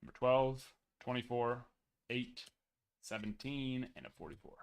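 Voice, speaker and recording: A young man hosting a live card break, chatting casually and reading numbers off in a steady list, his voice a little worn. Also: mid-range voice, unhurried, gravelly, smooth. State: losing his voice.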